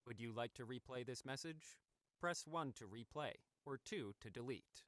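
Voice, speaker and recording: A man's voice: medium pitch, expressive, a bit bright, dry recording, natural voice. Impersonal, dry-sounding male voicemail system, man acting. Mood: neutral, procedural. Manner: measured, formal announcing. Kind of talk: audio thriller acting.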